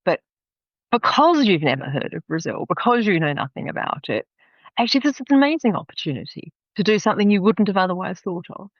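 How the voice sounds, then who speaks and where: A woman's voice: medium pitch, sing-song, fast, dry recording, dull audio. A woman in her fifties with an Australian accent, a podcast guest telling stories chattily and often laughing. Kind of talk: podcast interview.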